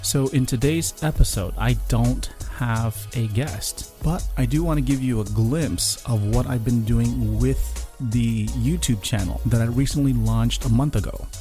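A man's voice: mid-range voice, unhurried, hardly any pauses, dark tone, soft background music. A man hosting a podcast, reading a promotional intro. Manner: promotional, reads calls to action. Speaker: podcast host.

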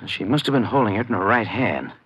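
Bright, lowish-voiced agent sidekick. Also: male voice, lively intonation, quick pace, breathy edge, poor audio. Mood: watchful. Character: loyal male agent sidekick on stakeout. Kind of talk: 1950s radio drama acting. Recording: old radio recording, faint noise.

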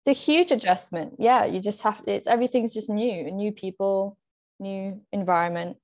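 A woman's voice: medium pitch, normal speed, darkish tone. A young woman from France, an actress, chatting casually in an interview with frequent fillers and restarts. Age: young adult. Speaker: guest, actress.

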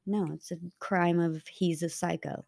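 A woman's voice: fairly low pitch, fairly even intonation, unhurried, gravelly, a little mellow. A woman co-hosting a podcast, giving casual conversational commentary. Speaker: podcast co-host.

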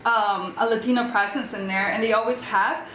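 A woman's voice: on the high side, steady tone, normal speed, fairly bright tone. An American woman from the Chicago area, talkative and passionate, chatting casually as an interview guest. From Chicago area, United States. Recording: roomy echo, clear background noise.

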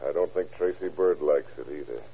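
An actor playing a commanding, shrewd American police captain with a brusque interrogator's manner in an old-time radio crime drama. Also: male voice, rumbling low, a little fast. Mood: pressing. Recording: tinny, rough old radio recording.

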